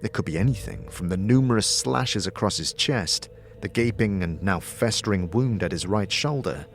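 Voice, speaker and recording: A man reading scripted second-person fantasy narration as a game master, in a measured, dramatic storytelling manner. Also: lowish voice, expressive. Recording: background music.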